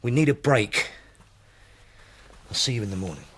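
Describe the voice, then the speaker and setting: Low-voiced, impatient detective inspector. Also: male voice, very animated, moderate pace, heavy background noise. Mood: frustrated, weary. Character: impatient, curt male police detective inspector. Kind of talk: radio drama acting.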